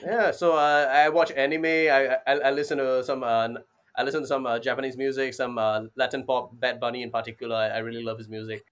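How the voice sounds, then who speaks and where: A man's voice: medium pitch, level tone, fairly quick, smooth. Young guy in his 20s, chatting casually in an interview, says you know a lot. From Singapore. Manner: informal, repeats "you know". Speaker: interview guest, startup advisor. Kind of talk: casual conversational interview answers.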